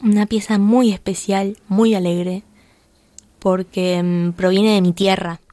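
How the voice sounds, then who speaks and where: A young Argentine woman with a Buenos Aires accent, talking about music in a heartfelt, earnest way with the odd soft laugh. Her voice sits at an ordinary pitch, recorded close and dry with no room sound. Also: little pitch movement, takes it slowly, no room sound. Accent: Argentine Spanish (Buenos Aires). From Argentina, Buenos Aires area. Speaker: violinist guest. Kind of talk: radio interview chat.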